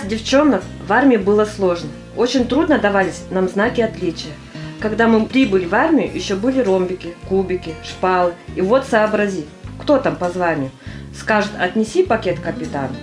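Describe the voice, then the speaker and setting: Woman playing a naive army girl, mid-pitched. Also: lightly acted. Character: naive young army girl baffled by military ranks. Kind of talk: amateur audio play monologue.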